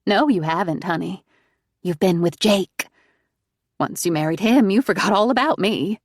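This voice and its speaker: A woman voicing a manipulative, self-pitying middle-aged mother who speaks in a crooning, wheedling way, raised in pitch and volume above her narration. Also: normal speed, no echo, studio quality, natural voice.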